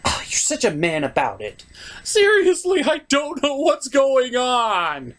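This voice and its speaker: A man's voice: very high-pitched, wildly swooping pitch, unhurried, a bit bright, pitched above the performer's own voice, heavily acted. A man voicing an argumentative, exasperated female puppet sidekick in a comedic review. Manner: emphatic, exasperated bickering.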